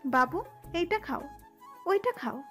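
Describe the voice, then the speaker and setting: A woman acts out a hot-headed, jealous young woman in a romantic audio story. Her voice is very high and confrontational, over faint background music. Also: lively intonation, slow pace, pushed and bright, exaggerated voice. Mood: doting. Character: hot-tempered young woman, jealous, sharp-tongued fiancée.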